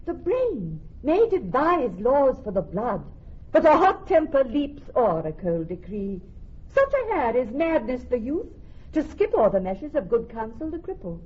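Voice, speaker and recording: Muffled radio drama. witty heiress, theatrical, breathy-husky voice with animated swings. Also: female voice, high voice, a little slow, heavily acted. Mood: wry, musing. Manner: articulate, theatrical, wry. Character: witty young heiress, wry and impatient of suitors. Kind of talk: classical Shakespearean radio drama acting. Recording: dull, muffled radio recording.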